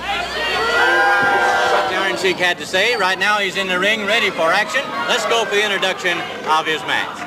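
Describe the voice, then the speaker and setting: Man, play-by-play wrestling announcer, smooth delivery, high voice, loud crowd and noise. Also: very animated, normal speed. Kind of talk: broadcast wrestling commentary.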